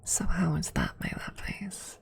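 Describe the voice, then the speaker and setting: A woman streamer chatting casually and softly in a breathy ASMR whisper. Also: on the low side, wildly swooping pitch, moderate pace. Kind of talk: soft casual ASMR chat.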